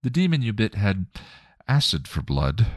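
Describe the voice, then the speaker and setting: A man voicing a gentle, kind female spirit, a mother who speaks soothingly. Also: on the low side, big pitch swings, normal speed, dry recording, studio quality, voice pushed up.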